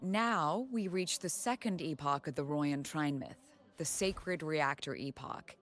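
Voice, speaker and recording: A woman voicing a composed female lecturer in a video game, reciting ancient myth in a formal, measured way. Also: low-pitched, fairly bright tone. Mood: composed, instructive.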